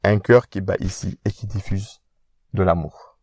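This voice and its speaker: A man's voice: low voice, steady tone, a little slow, a little mellow, slightly rough, dry recording, heavily acted. An actor playing a proud, witty young man who answers back with mocking self-assurance in an audio drama. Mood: defiant. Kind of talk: independent audio drama acting.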